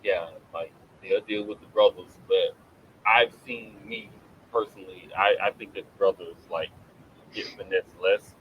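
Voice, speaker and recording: A man's voice: sits low, expressive, measured pace, dull audio, slight background noise. A man in a casual group chat, telling loose stories full of restarts. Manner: loose storyteller, lots of "like" and restarts.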